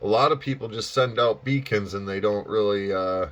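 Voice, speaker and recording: A man's voice: fairly low pitch, normal speed, a bit bright. A man chatting casually and enthusiastically as an amateur radio operator, feeling his way through his phrases. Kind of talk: casual chat over ham radio.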